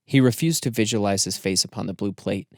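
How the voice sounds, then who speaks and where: Male audiobook narrator, lowish pitch, creaky gravel, measured formal storytelling, dry studio-like sound. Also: darkish tone, no room sound. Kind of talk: scripted literary narration.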